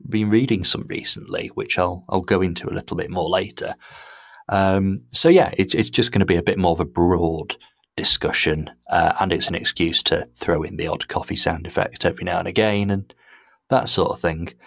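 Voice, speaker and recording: A man's voice: low-pitched, gravelly, fairly bright tone, no echo, clean studio sound. A man hosting a podcast in a casual, rambling, self-deprecating chat style. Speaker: podcast host.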